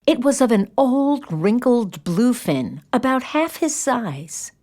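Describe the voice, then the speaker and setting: A woman narrating a scripted children's story in an expressive storytelling manner. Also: fairly high pitch, slow.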